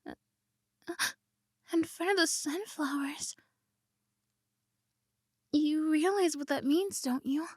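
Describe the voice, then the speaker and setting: A proud, easily flustered young princess voiced by a woman who stammers through her words. Her voice sits high and her pace is slow, and she drops into hushed whispers between sharp protests. The sound is close and dry, like an intimate audio drama. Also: dry recording. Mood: tender. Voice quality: stammering, flustered, shifts between soft whispers and indignant outbursts. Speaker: ASMR roleplay performer. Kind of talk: ASMR roleplay acting.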